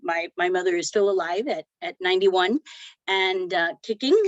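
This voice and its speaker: Woman, middle-aged, podcast guest, reflective, halting, dull dry recording. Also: lowish voice, no echo. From Cuba. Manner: reflective, with frequent fillers and restarts. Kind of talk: conversational interview.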